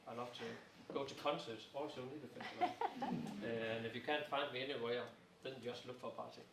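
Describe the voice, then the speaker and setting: A man's voice: mid-range voice, steady tone, brisk, gravelly. A man of fifty, a business consultant introducing himself in a jokey, easygoing way. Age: 50s. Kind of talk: casual self-introduction. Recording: echoey room, distant mic, crowd noise.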